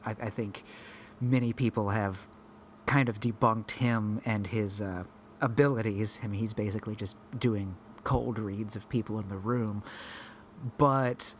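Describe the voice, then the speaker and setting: A man with a fairly low voice co-hosts a casual podcast, wandering through his thoughts with restarts and asides. A faint hiss of noise sits under the recording. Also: fairly even intonation, a little slow. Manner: rambling, self-correcting, thinking aloud. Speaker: podcast co-host.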